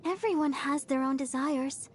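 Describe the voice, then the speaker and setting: A woman's voice: sits high, normal speed, a little hiss or hum, close to an everyday voice. A woman voicing a cheerful, devoted young girl idol healer in a video game, sweet, earnest and bubbly. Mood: heartfelt. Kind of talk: video game character voice lines.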